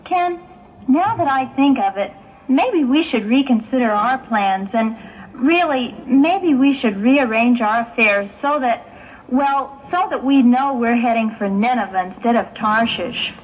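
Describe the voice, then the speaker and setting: A woman's voice: fairly high pitch, expressive, measured pace. Woman acting earnest young fiancée, tinny old tape. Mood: thoughtful. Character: earnest, agreeable young engaged woman with sober concern. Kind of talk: 1960s religious audio drama acting. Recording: muffled, hissy 1960s tape, phone-like.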